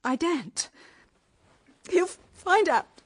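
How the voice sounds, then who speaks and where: An actress playing a desperate, self-pitying young English wife in a period radio drama, pleading and melodramatic. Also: female voice, extremely high pitch, animated, slow pace, full character voice. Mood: defiant, frightened. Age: young adult. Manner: melodramatic, pleading, childish outbursts.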